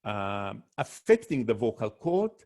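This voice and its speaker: A man's voice: fairly low pitch, very animated, measured pace, a little mellow. Man giving a medical lecture. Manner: careful, listing symptoms one by one with frequent restarts. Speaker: ENT doctor giving a webinar talk.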